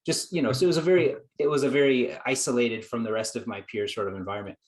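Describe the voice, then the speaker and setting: A man's voice: medium pitch, steady tone, fast talker. A man, a psychologist guest, telling his story in an earnest, reflective way, acting out his own past thoughts. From United States. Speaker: guest psychologist and productivity coach. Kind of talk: conversational storytelling.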